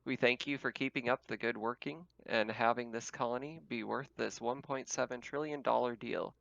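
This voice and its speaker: A man voicing an impersonal company computer making formal, measured announcements to employees in a tabletop game. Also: medium pitch, steady tone, gravelly, fairly bright tone, no room sound, highs cut off. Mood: flat, official.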